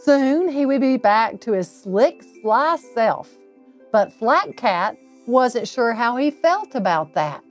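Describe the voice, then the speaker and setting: Slow-paced middle-aged woman reading to children. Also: on the high side, sing-song, soft background music. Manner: unhurried, deliberate phrasing with drawn-out emphasis. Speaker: storybook reader, local insurance agent. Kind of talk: children's bedtime story read aloud.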